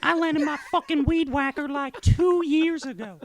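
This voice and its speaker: A woman putting on a high crude Southern farmer. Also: moderate pace. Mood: aggrieved. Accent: Southern US put-on. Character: weird scary Southern farmer man, crude.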